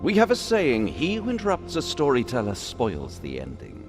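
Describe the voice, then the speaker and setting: Solemn warrior, wildly swooping pitch. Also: male voice, huge pitch swings, normal speed, faint music behind. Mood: solemn deadpan. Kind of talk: game cutscene acting.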